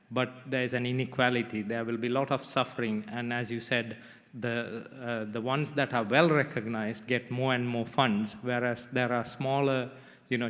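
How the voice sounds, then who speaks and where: A man from Sri Lanka moderating a panel discussion, measured, with frequent "you know" fillers. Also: medium pitch, a bit bright, in a large hall. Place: conference hall.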